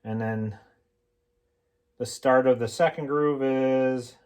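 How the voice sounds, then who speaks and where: A man explaining homemade tools in a casual, unscripted how-to style, informal and halting as he thinks aloud. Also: medium pitch, fairly even intonation, a little mellow. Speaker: hobbyist mechanic presenting a homemade tool demonstration.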